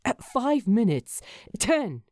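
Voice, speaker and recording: A woman voicing an anxious, earnest young male ghost in a narrated story, with a hesitant, halting manner. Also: fairly high pitch, sing-song, a little mellow, dry recording, clean studio sound. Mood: curt.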